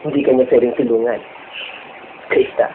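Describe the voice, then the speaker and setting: A man's voice: mid-range voice, fairly even intonation, normal speed, natural voice. A teenage boy with a Filipino accent acting as a menacing, teasing killer on the phone in an amateur horror drama. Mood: threatening. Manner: taunting, playful, code-switching between Tagalog and English. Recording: tinny, noisy phone-like lo-fi audio.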